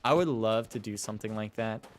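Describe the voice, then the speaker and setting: A man's voice: lowish voice, fairly quick, slight background noise. A man casting a live gaming stream in a casual, rambling, self-deprecating way. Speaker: esports livestream caster. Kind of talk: casual live game commentary and chat. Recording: music behind the voice. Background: music.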